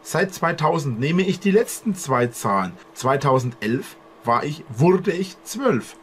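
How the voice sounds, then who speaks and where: A man in his twenties talking at length to a webcam in a bedroom, explaining in long, halting sentences with restarts. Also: a little high, big pitch swings, slight background noise. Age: 20s. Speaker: streamer. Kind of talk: rambling monologue to camera. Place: indoor bedroom with posters.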